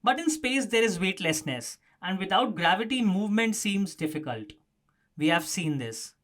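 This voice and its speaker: A man's voice: high-pitched, big pitch swings, normal speed, fairly bright tone. A man presenting a scripted explanatory news story as a narrator.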